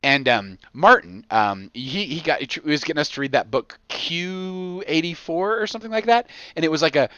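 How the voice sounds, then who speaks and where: Bright, higher-voiced man chatting on a podcast. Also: moderate pace, hardly any pauses, clean tone, no room sound, dull audio. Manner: animated storyteller who acts out imagined quotes, swears casually. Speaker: podcast host. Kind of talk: casual rambling podcast chat.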